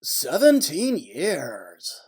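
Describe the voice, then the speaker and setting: A man playing a chatty, weary slaughterhouse worker in a comic noir audio play, rambling and deadpan. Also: on the high side, extremely slow, heavily acted. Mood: chatty, blunt.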